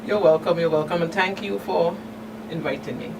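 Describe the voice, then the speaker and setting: A woman's voice: lowish voice, moderate pace. A woman speaking as a guest in a live radio interview. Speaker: guest, community activist. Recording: distant mic, clear background noise.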